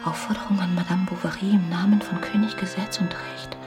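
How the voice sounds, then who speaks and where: An actress voicing a stern official notice in a radio drama, formal, whispery and breathy. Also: female voice, on the low side, fairly even intonation, fairly quick, far from the mic, over music, close to an everyday voice. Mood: stern, ominous. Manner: formal, declaratory. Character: stern official court notice, read out in a hushed voice.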